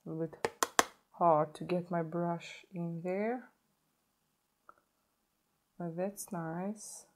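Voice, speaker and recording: Woman in her thirties, hoarse from a sore throat, lowish voice; a chatty beauty vlogger talking close to the camera. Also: steady tone, slow, a little mellow, slight background noise. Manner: chatty, self-correcting, stops to show products. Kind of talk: casual makeup tutorial. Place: indoor home filming set.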